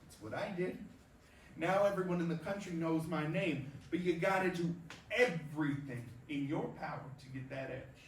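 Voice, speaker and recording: A man's voice: on the high side, normal speed, natural voice. A man on stage playing a cocky, crude veteran baseball star who brashly and slyly schools a rookie in slangy tough-guy talk. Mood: swaggering, coaching. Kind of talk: staged reading of a play, theatrical dialogue. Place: indoor performance space, recorded from afar. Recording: roomy distant stage recording, background noise.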